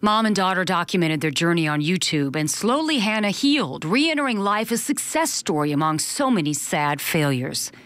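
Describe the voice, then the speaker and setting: A woman's voice: fairly low pitch, big pitch swings, normal speed, few pauses, a bit bright, no echo. A woman news reporter reading scripted narration for a television feature.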